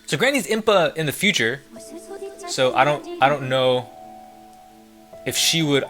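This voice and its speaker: A man's voice: fairly high pitch, expressive, unhurried, fairly bright tone, soft background music. A man doing casual, sweary gameplay commentary as a let's player.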